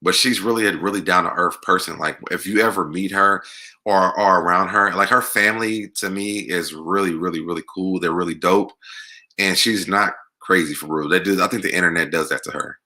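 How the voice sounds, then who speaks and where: An American man with a deep voice hosting a casual music Q&A, talking conversationally and repeating words for emphasis. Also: fairly even intonation, brisk, bright, forceful tone. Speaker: online show host. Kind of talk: casual live Q&A chat about music.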